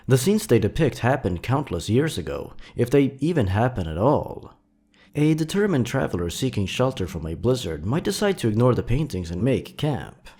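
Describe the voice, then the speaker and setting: A man narrating a horror story in a measured, suspenseful, dramatic way. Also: medium pitch, darkish tone.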